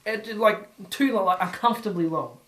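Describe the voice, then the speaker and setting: A man's voice: sits high, a little fast, fairly bright tone, roomy sound, a little hiss or hum, low-quality recording. Blunt man co-hosting a podcast. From Australia. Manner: blunt, critical. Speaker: podcast co-host. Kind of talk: casual film review chat.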